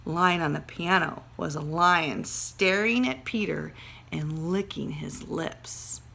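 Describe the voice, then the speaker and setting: Bright-voiced woman teacher reading aloud. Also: deep voice, takes it slowly, gravelly. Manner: dramatic, emphatic storytelling. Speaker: second grade teacher. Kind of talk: expressive storybook read-aloud with teaching asides.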